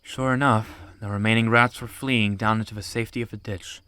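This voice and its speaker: A man's voice: on the low side, steady tone, a little fast, sharp tone, smooth, no room sound. A man reading scripted audiobook narration of an animal adventure story.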